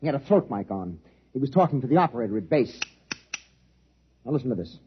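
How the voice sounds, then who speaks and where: A man's voice: mid-range voice, sing-song, fast talker, a little hiss or hum, natural voice. A man acting as an intense scientist in a 1950s radio drama, explaining urgently and insistently. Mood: insistent, grave. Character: intense, urgent male scientist reasoning out a threat. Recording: dull 1950s radio recording.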